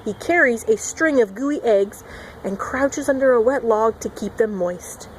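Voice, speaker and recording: A woman's voice: on the high side, a little slow, slight background noise, rough recording. A woman reading a picture book aloud to young children as a teacher, expressively, with simple asides and acted-out sound words.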